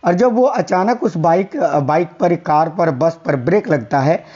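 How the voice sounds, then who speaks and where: A hoarse man teaching a quiz-style science lesson for exam prep, repeating each answer and telling viewers to remember it. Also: fairly high pitch, expressive, measured pace, a little mellow, highs cut off. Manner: repeats answers, coaxing "याद रखिएगा".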